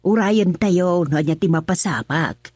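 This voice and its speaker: A woman's voice: lowish voice, a little slow, a little mellow, husky, no echo. An actress playing an older Ilocano mother in a radio drama, fussy and full of questions.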